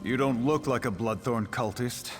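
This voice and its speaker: An actor playing a courteous, resolute noble duke who dabbles in magic, speaking with composure in a fantasy video game. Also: male voice, mid-range voice, moderate pace, natural voice. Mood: guarded, grateful. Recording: long reverberation, music behind.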